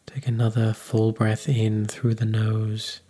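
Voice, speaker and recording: A man leading a guided meditation in a calm, unhurried, soothing manner. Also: lowish voice, husky.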